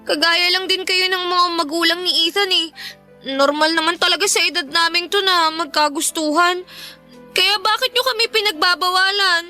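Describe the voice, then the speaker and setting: Radio drama role: stubborn Filipino teenage girl played by a woman, very high-pitched, bright and forceful. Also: steady tone, normal speed, soft background music, full character voice. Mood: defiant, pleading. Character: stubborn, defiant teenage daughter, later grieving young wife.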